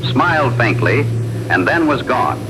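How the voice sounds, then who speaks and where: A man's voice: big pitch swings, measured pace. A man reading a scripted horror story as narrator. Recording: loud rumbling radio-like background noise.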